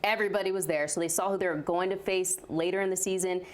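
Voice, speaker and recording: A woman's voice: lowish voice, steady tone, fast. An American woman giving conversational sports commentary as a show reporter.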